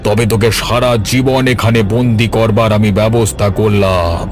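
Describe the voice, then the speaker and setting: A man's voice: on the low side, fairly even intonation, moderate pace, natural voice. A man playing a stern, commanding, unforgiving jinn-catcher in a dramatic cartoon. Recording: loud background music over the voice.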